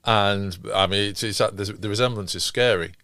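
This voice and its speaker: Low-voiced middle-aged man chatting, dry sound. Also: fairly even intonation, normal speed, bright, forceful tone, clean tone, dry recording. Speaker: podcast co-host. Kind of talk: casual chat.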